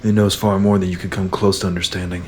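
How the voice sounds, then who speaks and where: A low male voice with little pitch movement acted by a man, a weary soldier in a science-fiction audio drama. He is guarded and terse, speaking in a subdued way. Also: flat delivery, fast, a little hiss or hum, lightly acted.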